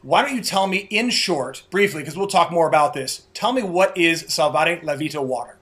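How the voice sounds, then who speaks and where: A man's voice: fairly high pitch, animated, moderate pace, a bit bright. A man hosting an interview, speaking emphatically and repeating words for effect. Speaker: interview host.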